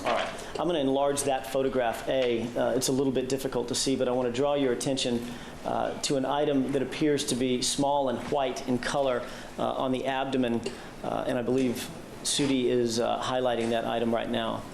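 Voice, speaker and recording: Man, prosecutor, courtroom questioning, gravelly voice, methodical, echoey room with hum. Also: medium pitch, flat delivery. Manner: measured, methodical, frequent uh fillers.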